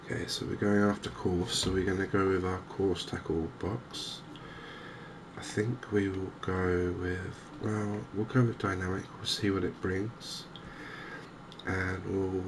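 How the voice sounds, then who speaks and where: A man with an English accent giving soft, gentle, unhurried cozy ASMR commentary on a video game as the channel host. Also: sits low, little pitch movement, noisy background. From England.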